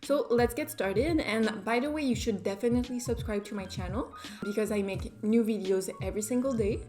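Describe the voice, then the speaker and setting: A woman's voice: fairly high pitch, fairly quick, mellow, faint music behind. A young woman with a French Canadian accent, chatting casually through a makeup tutorial just after waking up, self-deprecating and easygoing. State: just woke up. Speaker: beauty vlogger.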